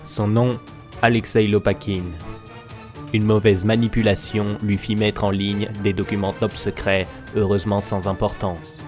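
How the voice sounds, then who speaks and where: A man narrating a scripted mock documentary in a mock-serious, deliberate manner. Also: fairly low pitch, little pitch movement, music in the background.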